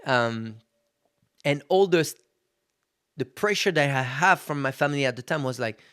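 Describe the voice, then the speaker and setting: A man's voice: mid-range voice, lively intonation, normal speed, fairly bright tone, clear, resonant tone. A man in his thirties hosting a podcast, talking casually and candidly, swearing freely and calling his listeners guys. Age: 30s. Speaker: podcast host. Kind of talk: casual motivational monologue.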